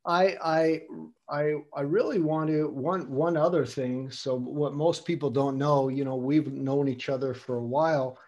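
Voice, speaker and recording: A man's voice: on the high side, steady tone, unhurried, darkish tone, lo-fi sound. An older man hosting an interview, asking long, meandering questions and repeating words as he thinks. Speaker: host. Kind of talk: casual interview.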